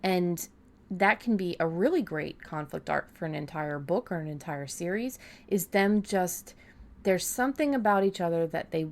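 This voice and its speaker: Casual, rambling woman with a lowish voice. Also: animated, moderate pace, a little mellow. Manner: rambling, informal, self-correcting. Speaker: podcast co-host. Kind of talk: casual writing-advice discussion.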